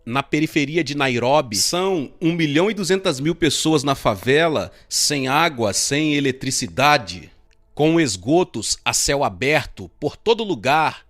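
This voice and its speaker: Brazilian guy doing a voice-over of a sermon testimony, slightly high voice, dry sound no room echo. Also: expressive, measured pace, fairly bright tone. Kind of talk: dubbed sermon, first-person testimony.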